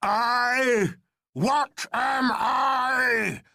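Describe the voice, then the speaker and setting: Man performing a huge, smooth, mock-genial president. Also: extremely high pitch, steady tone, drawn-out words, bright, forceful tone, no echo, clean studio sound, pitched above the performer's own voice, exaggerated voice. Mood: roaring, grand. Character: huge enigmatic president, smooth, teasing, grandly booming man.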